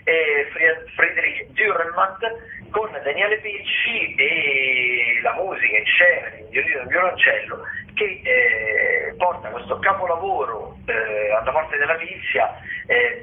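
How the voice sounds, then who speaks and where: A man's voice: a little high, lively intonation, a little slow. A man presents arts listings as a radio host in a semi-improvised, hesitant way, searching for words mid-phrase. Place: radio broadcast. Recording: tinny, narrow phone-like radio sound.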